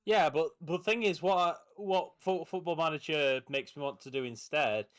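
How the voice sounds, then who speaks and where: A man with a slightly raised pitch co-hosts a casual podcast. His humor is dry and sarcastic, and he delivers his jokes flatly. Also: normal speed. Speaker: podcast co-host. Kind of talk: casual chat.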